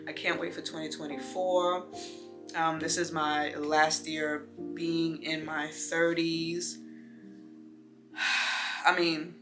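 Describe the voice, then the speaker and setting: A woman's voice: low voice, level tone, slow, long pauses, music in the background. A woman in her late twenties, a vlogger talking casually to her viewers in a rambling, heartfelt way with lots of fillers. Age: 20s. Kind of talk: casual talk to camera.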